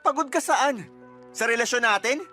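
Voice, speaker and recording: A man's voice: very high-pitched, normal speed, pushed and bright, soft background music. A young man acting a resentful boyfriend in a radio drama, complaining and accusing. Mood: hurt, accusing.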